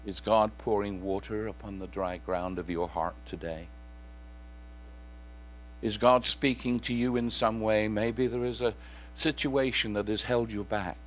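Croaky man preaching slowly over hum. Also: fairly low pitch, fairly even intonation, a bit bright, rough recording. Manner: slow, earnest questioning. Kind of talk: sermon with sung hymn.

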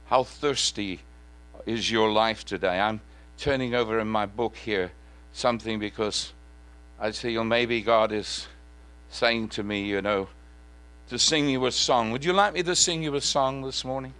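A man preaching a sermon in church in a slightly croaky voice, asking earnest questions and singing parts of a hymn. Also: on the low side, measured pace, fairly bright tone.

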